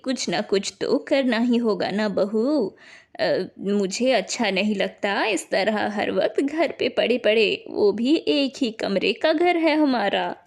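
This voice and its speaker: A worried older mother-in-law from a family storybook tale, acted by a woman. She speaks slowly and hesitantly, blaming herself, with a lively swing in her pitch.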